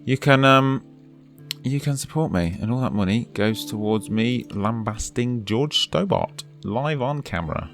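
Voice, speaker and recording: A man's voice: mid-range voice, animated, measured pace, faint music behind. A man from the UK commenting casually over a game, chatty and urging his character on, while losing his voice. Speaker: let's play host.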